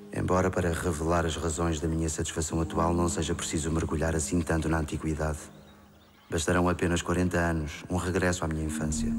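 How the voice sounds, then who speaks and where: A man's voice: low-pitched, natural voice. A man with a European Portuguese accent playing a serene, grandiose genius tycoon in a comic drama, speaking formally and eloquently. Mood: self-satisfied. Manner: formal, self-satisfied, eloquent monologue.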